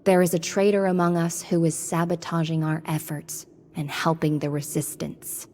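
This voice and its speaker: A woman's voice: low voice, level tone, normal speed, darkish tone, slight background noise. An actress playing a determined, earnest witch rebel in a video game cutscene. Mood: urgent, resolute.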